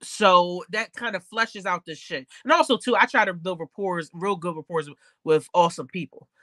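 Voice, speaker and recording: A woman's voice: on the low side, moderate pace, sharp tone, no room sound. A woman with a slightly stuffed-up nose chatting candidly and casually as a podcast guest, with slang and swearing. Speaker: podcast guest, card collector. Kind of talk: casual interview chat.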